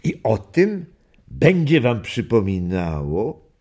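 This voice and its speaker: An older man acting a warm grandfather in a children's radio drama, husky, telling stories reflectively with deliberate pauses. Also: on the low side, huge pitch swings, full character voice. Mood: gentle, earnest.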